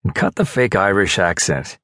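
A man's voice: low-pitched, wildly swooping pitch, moderate pace, a bit bright, no room sound, clean studio sound, exaggerated voice. Man playing a curt, guarded military man. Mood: irritated. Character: guarded, stubborn male Navy SEAL, weary team leader.

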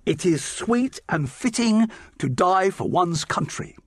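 High-voiced male schoolteacher. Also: expressive, slow pace, no room sound, clean studio sound, heavily acted. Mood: instructive. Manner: clear, instructive. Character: brisk male schoolteacher addressing his class. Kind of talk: audio drama acting.

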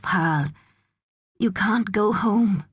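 A woman voicing a gentle, cheerful man in a children's audiobook, reassuring and patient. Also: lowish voice, sing-song, a little fast, husky, dry recording, studio quality. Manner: soothing, patient. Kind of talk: acted children's audiobook dialogue.

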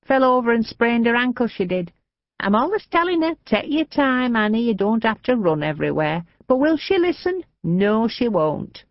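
A woman voicing a frail, chatty old northern lady with a high, quavering, mischievous voice in a storybook reading. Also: sing-song, normal speed, dry recording, highs cut off. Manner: gossipy, cheeky, confiding.

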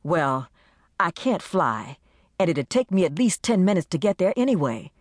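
Steady, firm young woman, read by a female narrator, low voice, calm and direct, dry close recording. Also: moderate pace, no room sound. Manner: firm, calming.

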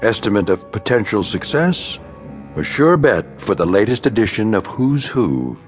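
An actor playing a wry, authoritative narrator of eerie tales, measured and dramatic, in a scripted radio drama. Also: male voice, lowish voice, big pitch swings, soft background music. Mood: wry, ominous.

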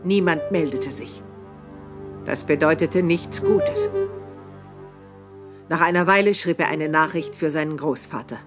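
A man narrating a radio play in a calm, even storytelling manner. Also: a little high, measured pace, long pauses, over music. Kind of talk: scripted narration.

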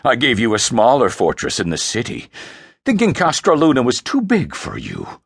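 Domineering imperial father for a fantasy story, played by a male narrator: gravelly, scornful, slow and heavy. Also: medium pitch, huge pitch swings, a bit bright, dry recording, clean studio sound, pitched above the performer's own voice, full character voice. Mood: cold disgust. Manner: scornful, commanding, snorting disdain. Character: towering thunderous emperor, a contemptuous domineering father. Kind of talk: dramatic fantasy audiobook character voice.

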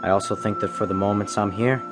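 An actor plays a young man of the Old West with a fairly low voice and clear music underneath. He sounds sincere and reflective, with a touch of sadness, as in a radio drama. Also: steady tone, fast, close to an everyday voice. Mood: wistful. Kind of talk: western audio drama acting.

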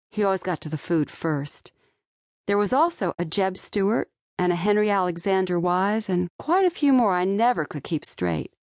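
Low-voiced woman narrating a novel. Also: no room sound, clean studio sound. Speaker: author narrating own audiobook. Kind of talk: scripted first-person young-adult novel narration. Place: dry studio.

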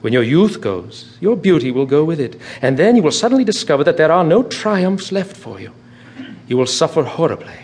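An actor playing a witty, languid aristocratic gentleman in a radio drama, speaking in theatrical epigrams. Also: a little high, big pitch swings, fairly quick, a little hiss or hum. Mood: urging.

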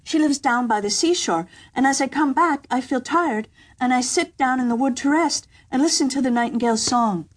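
A woman's voice: sits high, normal speed, pitched above the performer's own voice. A woman doing a sweet little kitchen girl. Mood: earnest. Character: small kind kitchen girl, humble.

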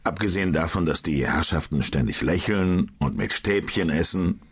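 Male, low and a little husky, animated questioner in scripted dialogue. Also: moderate pace. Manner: curious, questioning, lively. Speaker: colleague asking questions in a language-course dialogue.

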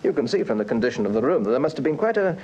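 An actor playing a brisk, businesslike police inspector in a radio crime drama, with a British accent and methodical, matter-of-fact delivery. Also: male voice, medium pitch, sing-song, a little mellow, husky. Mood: factual, methodical. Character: brisk, businesslike male police inspector, deferential to his chief. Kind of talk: full-cast radio crime drama acting.

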